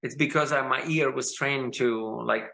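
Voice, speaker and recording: Italian-accented male guest, bright tone, halting, searching for words, laughs easily. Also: medium pitch, fairly even intonation, gravelly. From Italy. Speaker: guest musician. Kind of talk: casual interview chat.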